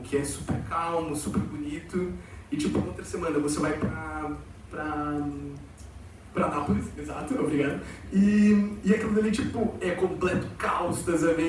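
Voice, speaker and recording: A man's voice: fairly high pitch, a little mellow, slight background noise. A young man with a Brazilian accent gives a chatty, rambling talk to students, full of fillers. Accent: Brazilian Portuguese, with some Portugal slang. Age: young adult. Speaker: exchange student presenter. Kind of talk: informal presentation to students. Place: large auditorium. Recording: echoey hall from far off.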